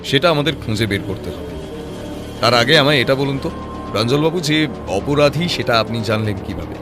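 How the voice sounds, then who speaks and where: A man acting as a sharp-witted private detective with a mid-range voice, measured and composed. He lays out his reasoning patiently and probes with rhetorical questions, in an audio drama with music underneath. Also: mid-range voice, animated, close to an everyday voice. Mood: calm, explaining. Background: background music.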